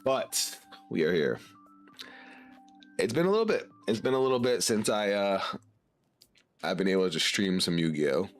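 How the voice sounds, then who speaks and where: A man's voice: low-pitched, sing-song, normal speed, pauses often, faint music behind. A man streaming casually to his chat while congested and sick, laid-back and swearing now and then.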